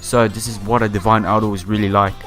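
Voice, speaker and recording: A man's voice: lowish voice, flat delivery, fast, fairly bright tone. A man doing a playthrough of a visual novel, reading the text aloud with casual commentary and stumbling over some words. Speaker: let's player. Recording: background music behind the voice. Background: game music.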